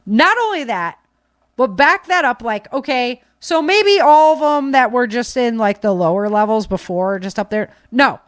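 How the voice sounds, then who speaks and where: A woman's voice: fairly high pitch, expressive, normal speed, dry recording, clean studio sound. An American woman hosting a podcast, talking casually and off the cuff in an emphatic, conversational way. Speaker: podcast host. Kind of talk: casual unscripted podcast commentary.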